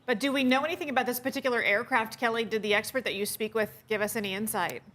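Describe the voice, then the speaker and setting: A woman's voice: a little high, steady tone, fast, a bit bright. A woman with an American accent presenting television news as an anchor.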